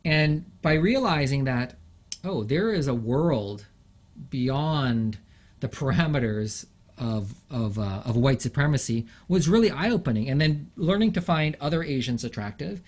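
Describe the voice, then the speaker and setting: A middle-aged man from England, an interview guest, talking thoughtfully with frequent fillers and pauses. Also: medium pitch, animated, darkish tone. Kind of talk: reflective interview conversation.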